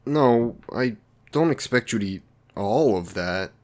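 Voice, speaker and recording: A man's voice: medium pitch, expressive, unhurried, darkish tone, lightly acted. A man playing an awkward, lonely, considerate villain in an intimate roleplay drama, speaking in a hesitant, apologetic, polite way. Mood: eager, awkward.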